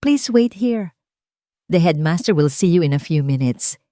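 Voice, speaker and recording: A woman's voice: fairly low pitch, animated, unhurried, mellow, dry recording, studio quality. A woman voicing a cool, businesslike school secretary in an audiobook story. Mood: cool, formal. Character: cold-voiced, formal school secretary.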